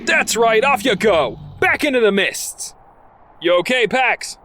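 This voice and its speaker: A man's voice: sits high, very animated, a little slow, pushed and bright, a little hiss or hum, exaggerated voice. A man playing a cynical, wisecracking robot detective with a sardonic delivery in an audio drama. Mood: agitated, sarcastic. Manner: sardonic, quippy.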